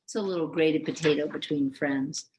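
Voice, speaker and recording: A woman hosting a children's story time, giving gentle commentary and prompts to young listeners. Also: low-pitched, normal speed, slight background noise, poor audio.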